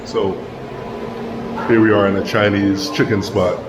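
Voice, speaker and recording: Low-voiced man vlogging on a noisy street. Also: level tone, a little slow. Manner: loose, conversational, thinking aloud with restarts. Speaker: travel vlogger. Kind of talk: casual walk-and-talk vlog. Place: city streets outdoors. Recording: outdoor street noise. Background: street traffic and city ambience.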